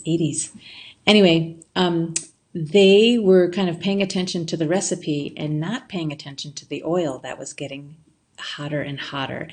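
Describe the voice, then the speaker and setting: A woman in her fifties telling a friend a story for a recorded birthday tribute, relaxed, with fillers. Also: deep voice.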